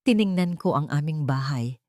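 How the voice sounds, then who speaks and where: A woman's voice: low-pitched, expressive, moderate pace, a little mellow, no room sound, clean studio sound. A woman with a Filipino accent narrating a scripted, dramatic romance story.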